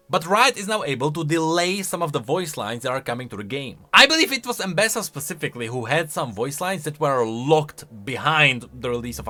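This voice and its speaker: Animated man with a bright, high voice. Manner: animated, opinionated, conversational. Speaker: video game lore commentator. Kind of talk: casual reaction commentary. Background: faint music.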